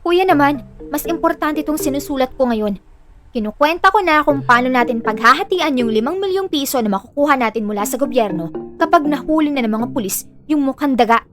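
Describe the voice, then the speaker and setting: A woman's voice: high voice, expressive, fairly quick, music in the background. A woman with a Filipino accent playing a bossy, money-minded younger sister in an audio drama, blunt and sassy as she bickers. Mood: scheming.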